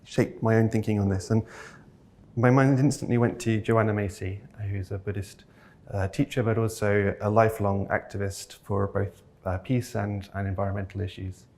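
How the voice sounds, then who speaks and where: Lower-voiced man giving a reflective talk. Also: flat delivery, normal speed. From North Wales. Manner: thoughtful, searching for words, often hesitant; earnest and gently enthusiastic. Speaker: keynote speaker. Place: talk venue, audience present.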